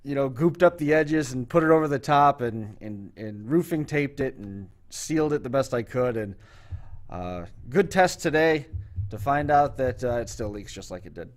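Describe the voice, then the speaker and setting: A man hosting a talk show in casual chat, telling stories in an unhurried, rambling way. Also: medium pitch, animated.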